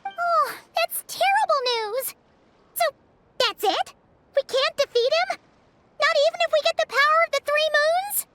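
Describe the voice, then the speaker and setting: A woman voicing a tiny, excitable, childlike fairy companion in a game dub, squeaky and inquisitive. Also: unhurried, very noisy. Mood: shocked, dismayed. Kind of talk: English video game dub acting.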